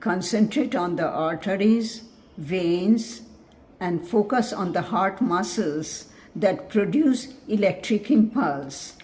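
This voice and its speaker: A woman leading a scripted guided meditation in a measured, soothing, instructive manner. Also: mid-range voice, lively intonation, darkish tone.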